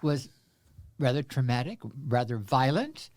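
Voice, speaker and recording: A man in his seventies from Central Texas, a guest telling his life story in an interview, halting with many fillers, with a wry humor. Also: mid-range voice, very animated, a little mellow.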